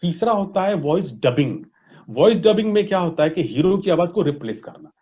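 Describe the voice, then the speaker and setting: A man with an Indian accent speaks in a fairly high voice. He explains things step by step like an instructor, slipping between languages as an interview guest. The recording is dull and slightly lo-fi. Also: big pitch swings, brisk. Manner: didactic, frequent check-in tags. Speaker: interview guest, voice industry expert. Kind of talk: explanatory interview answers mixing Hindi and English. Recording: dull, lo-fi audio.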